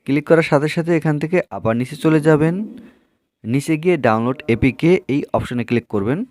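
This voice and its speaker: A man's voice: fairly high pitch, fast, a little mellow. A man hosting a tech tutorial, addressing viewers in an instructional, scripted way with repeated step-by-step phrasing. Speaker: tech tutorial video host.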